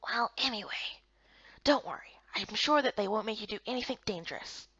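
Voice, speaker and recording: A woman acts out a gentle male official in a fantasy game. He speaks softly and politely, often close to a hush, with patient reassurance. The sound is close and dry, with a dull lo-fi edge. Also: a little high, lively intonation, moderate pace, breathy edge, no room sound. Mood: patient, reassuring.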